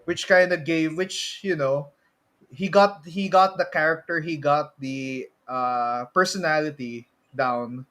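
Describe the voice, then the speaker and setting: A man's voice: fairly high pitch, slow, fairly bright tone. A man with a Filipino accent hosting a casual podcast, mixing Tagalog particles into his English. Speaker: podcast host.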